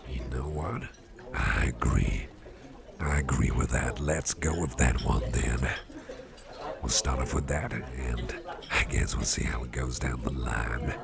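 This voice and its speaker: A man playing a friendly, rambling boss in an ASMR roleplay, chatting personally to the listener in a deep, gravelly voice. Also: over a noisy crowd, heavily acted. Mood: chummy. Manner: rambling, chummy, halting, repeats phrases. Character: friendly, rambling male boss at a Halloween party. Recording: music playing behind the voice.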